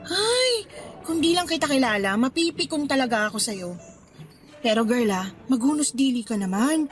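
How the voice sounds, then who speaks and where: A disapproving young woman with a high voice. She nags and needles emphatically, dripping sarcasm in radio-drama banter over soft music. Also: big pitch swings, moderate pace. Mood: scolding. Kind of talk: radio drama dialogue in colloquial Taglish.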